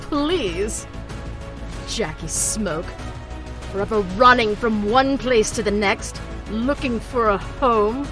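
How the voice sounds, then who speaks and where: A woman's voice: fairly high pitch, slow pace, music in the background. A woman playing a menacing villain in an audio drama, taunting with mock sweetness and condescension. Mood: scornful. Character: mocking, menacing villain taunting a young magician.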